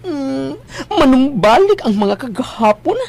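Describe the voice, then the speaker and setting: Squealing, very high campy Visayan gossip. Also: female voice, sing-song, vocal fry, darkish tone, heavily acted. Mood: sly teasing. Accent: Philippine, Visayan. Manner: squealing, exaggerated, drawn-out. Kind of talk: radio drama acting. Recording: slight background noise.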